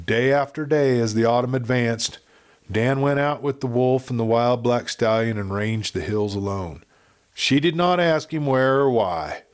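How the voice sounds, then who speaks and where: A man reading a western novel aloud in a measured, dramatic storytelling manner. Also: medium pitch. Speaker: audiobook reader. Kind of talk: scripted western storybook narration.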